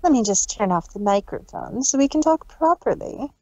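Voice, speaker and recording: Woman doing smug unhinged pony villainess, taunting n condescending, swingy pitch, dry close sound, fanfic storybook style. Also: very animated, normal speed, darkish tone, dry recording, muffled recording. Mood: mock politeness. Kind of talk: dramatized fan fiction reading.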